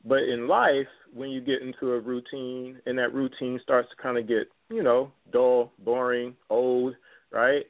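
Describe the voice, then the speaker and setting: A man's voice: mid-range voice, flat delivery, unhurried. A man hosting a talk radio show, speaking loosely and casually with many fillers. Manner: loose, filler-heavy, conversational. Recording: tinny phone-like line.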